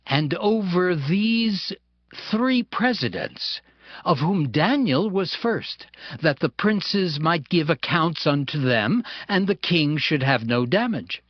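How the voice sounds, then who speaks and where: A man narrating scripture from a script in a solemn, deliberate way. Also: a little high, animated, no echo. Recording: dull lo-fi audio with music.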